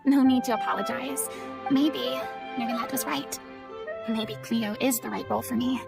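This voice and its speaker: An actress voicing a proud but easily flustered young former stage star in a video game, speaking in a theatrical, expressive way. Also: female voice, fairly high pitch, normal speed, vocal fry, over loud music. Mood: resigned, sincere. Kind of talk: scripted video game voice acting.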